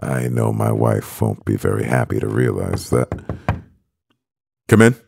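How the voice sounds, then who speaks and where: A man acting a composed, doting CEO boyfriend in an ASMR roleplay, speaking in a measured, gravelly voice. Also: fairly even intonation, a little mellow, no echo, exaggerated voice. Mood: composed, businesslike. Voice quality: very deep, gravelly. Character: powerful male CEO boyfriend, composed, doting, gently teasing.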